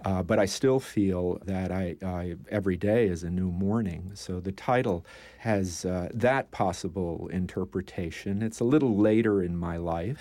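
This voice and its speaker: An older man, a poet, talking in a measured, thoughtful way as an interview guest, pausing often with "uh". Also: sits low, warm tone, dry recording. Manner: thoughtful, measured, with frequent "uh" pauses. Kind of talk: conversational interview.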